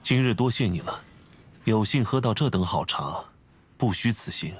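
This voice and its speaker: Low-voiced, composed scholarly gentleman. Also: lively intonation, breathy edge. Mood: polite. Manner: formal, measured, courteous, instructive. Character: refined, composed, scholarly gentleman and tea connoisseur. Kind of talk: video game character acting.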